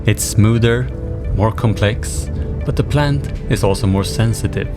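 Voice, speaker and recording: A man's voice: lowish voice, a little slow, over music. A man narrating a documentary from a script in the first person, as the filmmaker.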